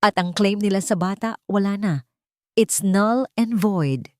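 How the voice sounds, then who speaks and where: A woman's voice: medium pitch, unhurried, darkish tone, no room sound, studio quality, pitched above the performer's own voice, natural voice. Woman narrator as serious male lawyer in romance drama: Filipino accent, cold resolve, weighty emphasis. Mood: stern, emphatic. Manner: emphatic, stern. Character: serious male attorney, cold, determined ally.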